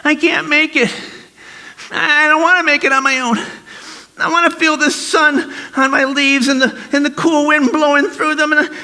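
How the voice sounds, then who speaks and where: A performer voicing a proud, silly little vine branch in a theatrical storybook skit, with whiny, melodramatic, halting delivery. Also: high voice, fairly even intonation, sharp tone. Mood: desperate pleading. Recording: long hall reverb.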